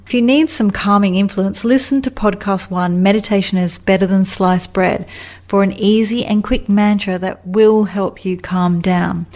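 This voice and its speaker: A woman's voice: medium pitch, darkish tone. A middle-aged Australian woman hosting a podcast, telling a personal story in an earnest, reflective way and asking rhetorical questions. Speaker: podcast host, communication coach.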